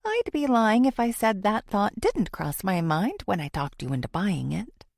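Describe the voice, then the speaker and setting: A woman's voice: medium pitch, big pitch swings, moderate pace, gravelly, darkish tone, dry recording, voice pushed up. A woman voicing an anxious, apologetic woman confiding in her best friend in an audiobook, hesitant and pleading. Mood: warm, teasing.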